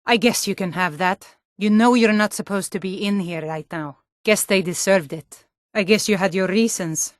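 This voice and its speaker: A woman's voice: medium pitch, normal speed, no room sound, studio quality, natural voice. A woman voicing a tough, blunt female Nord warrior guard in a fantasy video game, stern, taunting and combative. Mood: stern, wary.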